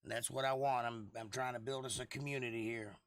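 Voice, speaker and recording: Hoarse man chatting on a livestream. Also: mid-range voice, steady tone, quick pace, no room sound, clean studio sound. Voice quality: hoarse, froggy. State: lost voice for months, throat clearing. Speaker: livestream host. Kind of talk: casual livestream chat, replying to viewers.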